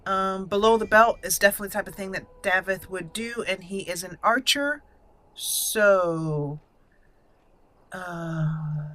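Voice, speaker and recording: A woman's voice: mid-range voice, pushed and bright. A woman hosting a gaming video, giving casual roleplay commentary and thinking aloud as she plays.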